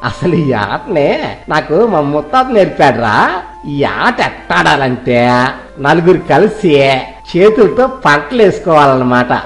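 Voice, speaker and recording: A man's voice: on the high side, big pitch swings, a little slow, faint music behind. A man playing a boastful village master in a comic cartoon story, pompous and bossy yet fond, speaking in a Telugu village dialect. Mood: proud explaining. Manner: scolding, pompous, colourful comic threats.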